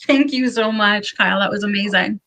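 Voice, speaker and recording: A woman's voice: mid-range voice, moderate pace, bright, forceful tone. A woman hosting a video call from home, talking in an upbeat, chatty way. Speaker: host. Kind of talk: casual video call chat.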